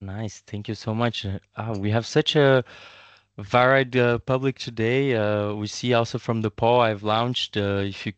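A man's voice: lowish voice, steady tone, measured pace, clean tone, dry recording. A man hosting an online webinar, inviting participants to introduce themselves, with frequent fillers and a friendly, encouraging manner over a video call. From Brazil and Italy. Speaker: webinar host, community manager.

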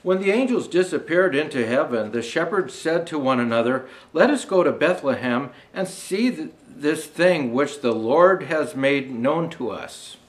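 An older man reads a children's storybook aloud as Santa the storyteller. His voice sits fairly high for a man and moves up and down with plenty of expression. He phrases each line deliberately. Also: animated, low-quality recording. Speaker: storyteller dressed as Santa Claus.

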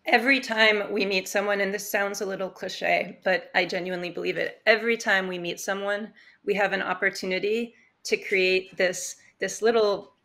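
A woman talking as a guest on a video-call podcast, thoughtful and reflective with many pauses, a little hoarse. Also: mid-range voice, steady tone, fairly bright tone. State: slightly hoarse. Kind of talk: casual interview talk. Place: home room, indoors. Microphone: earbud headset on video call.

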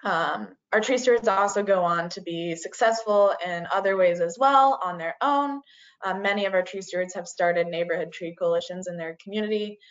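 A woman's voice: mid-range voice, normal speed. A woman giving an online presentation, starting many phrases with "uh" and "um". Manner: frequent "uh" and "um" fillers. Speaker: community forestry presenter. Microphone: video call. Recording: dull lo-fi video call audio.

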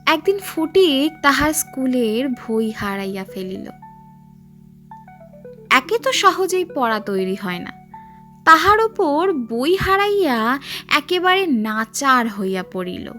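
High-voiced woman narrating, slow and expressive. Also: faint music behind. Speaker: storyteller. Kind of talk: dramatic audiobook narration of a literary story.

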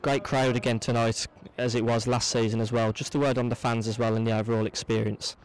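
A man's voice: mid-range voice, flat delivery, a little fast, clean tone, low-quality recording. A man interviewing for a football club, asking fluent, presenterly post-match questions.

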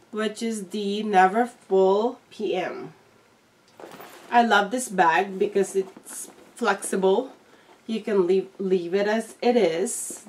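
A woman's voice: medium pitch, slight background noise. A middle-aged woman, a little congested from flu, talking casually as a vlogger and showing things to viewers in an unboxing video. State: slightly congested, recovering from flu. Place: small indoor room at home.

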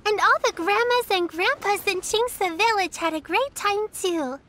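A sweet, eager little girl from a fantasy game. Her voice sits very high and sounds bright and sharp, and she takes her time with each line, earnest and polite. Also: a little slow, heavily acted. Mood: cheerful. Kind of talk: video game voice acting.

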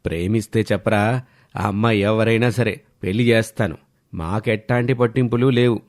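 Man playing a low, measured old family father. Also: steady tone, a little mellow, close to an everyday voice. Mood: coaxing. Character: older Indian family patriarch, gentle, reassuring father. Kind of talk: cartoon family drama acting.

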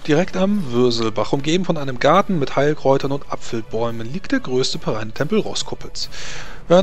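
A man narrating a tabletop role-playing game as game master, improvising in a halting way. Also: a little high. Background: background music.

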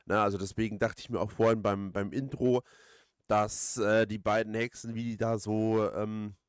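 A man with a fairly deep voice chats through a video game. He rambles, hesitates and thinks aloud in a casual way. The sound is dry, with no room to it. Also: level tone, moderate pace, clear, resonant tone, dry recording. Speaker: let's player, host. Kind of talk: casual let's play commentary.

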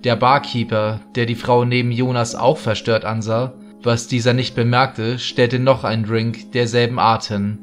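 Male narrator, medium pitch, scripted creepy storytelling, light music bed. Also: level tone, moderate pace, few pauses. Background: background music.

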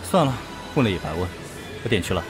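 A man's voice: sits low, big pitch swings, fast talker. A man playing a cocky, playful male student in an amateur audio drama, teasing as he talks. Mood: casual coaxing. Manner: teasing, swings from murmurs to loud calls. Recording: music behind the voice.

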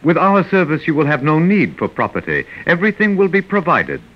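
An actor playing a polite, implacable humanoid robot servant, formal and servile, in 1950s radio drama style. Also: male voice, fairly high pitch, big pitch swings, brisk. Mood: polite, insistent. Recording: dull vintage 1950s radio recording.

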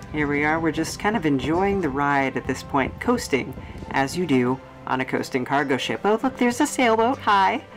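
A woman narrating a casual, chatty travelogue as host, with playful, wry, rambling asides. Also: on the low side. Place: studio voice-over.

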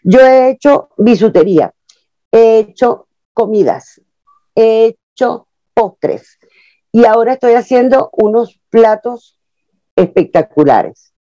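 A woman in her sixties, a guest on a video call, speaking with expressive energy in a slightly high, mellow voice. The sound is dull and lo-fi, picked up by an earphone mic in a small room at home with a dry, close sound. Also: takes it slowly, dry recording. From Venezuela. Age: 60s. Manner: expressive, animated storyteller with lots of hand gestures. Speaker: actress and guest. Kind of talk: casual interview chat. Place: small room at home, plain wall behind.

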